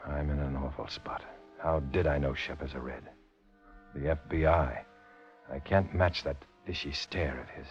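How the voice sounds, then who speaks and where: An actor playing a tough, wry undercover agent in a 1950s radio noir drama, with clipped delivery. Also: male voice, a bass voice, fairly even intonation, normal speed, lo-fi sound. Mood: tense, confiding. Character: hard-boiled male undercover agent posing as communist. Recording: band-limited old radio recording with music.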